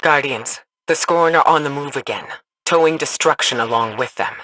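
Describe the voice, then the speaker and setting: A woman's voice: low-pitched, moderate pace, noisy background, natural voice. A woman voicing a solemn spymaster in a video game, giving mission briefings in a formal, authoritative manner. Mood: grave urgency. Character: solemn, commanding female spymaster, measured and grave.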